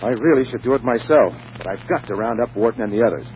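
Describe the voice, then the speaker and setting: A man's voice: mid-range voice, fast talker, close to an everyday voice. Actor as a polite businessman suitor, old radio. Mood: grave, urgent. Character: smooth-talking businessman, scheming yet bashful suitor. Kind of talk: 1940s radio acting. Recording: muffled, hissy 1940s radio recording.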